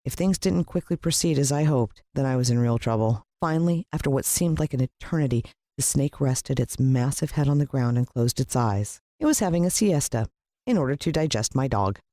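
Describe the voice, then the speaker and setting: Audiobook narrator: American woman, expressive and suspenseful storytelling, measured pauses, bone-dry studio-like sound. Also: rumbling low, dry recording. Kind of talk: dramatic scripted storybook narration.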